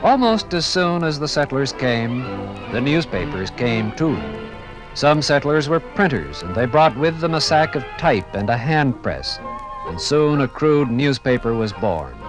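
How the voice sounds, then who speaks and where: With music playing behind him, a man narrates a 1950s radio documentary. His mid-pitched voice is formal and measured, with dramatic, oratorical phrasing. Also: sing-song, low-quality recording.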